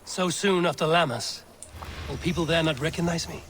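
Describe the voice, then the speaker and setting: Man playing a steady Viking raider leader, higher-pitched. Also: a little hiss or hum, lightly acted. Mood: wary, measured. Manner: measured, formal archaic phrasing. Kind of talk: dramatic video game cutscene acting.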